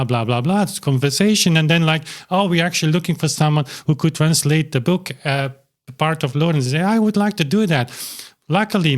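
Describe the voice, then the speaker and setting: Rambling man talking to camera. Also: on the high side. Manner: rambling, with many restarts and fillers. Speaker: YouTube channel host. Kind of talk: casual talk to camera. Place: small cozy home studio.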